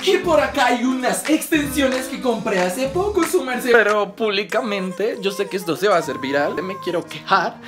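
A man's voice: lively intonation, normal speed, hardly any pauses, reverberant, over music. A young man, giving a playful, exaggerated comedic tour of his home on a handheld phone. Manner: playful, camp and exaggerated, swinging into shrill put-on voices. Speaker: vlogger. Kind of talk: comedic house tour vlog. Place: small tiled bathroom and bedroom at home. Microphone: handheld phone camera.

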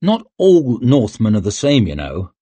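A man voicing a blunt, gruff young male lord and war hero in his twenties. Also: mid-range voice, wildly swooping pitch, a little slow, warm tone, dry recording, full character voice.

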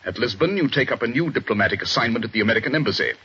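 An actor playing a stern middle-aged police chief inspector, in a 1940s radio drama, husky and clipped. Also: male voice, mid-range voice, expressive, very fast, sharp tone. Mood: grave. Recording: dull old radio broadcast.

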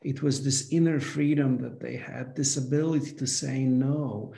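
A man in his forties from Poland, a guest telling his life story in a halting way, with many fillers. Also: mid-range voice, fairly even intonation, vocal fry, dark tone, reverberant. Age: 40s. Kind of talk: reflective conversation.

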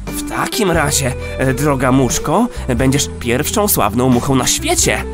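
Small male rat for a children's audio play: friendly teaser, animated and unhurried, music behind. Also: medium pitch. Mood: encouraging. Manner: hesitant fillers, playful. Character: friendly, teasing, encouraging male little rat.

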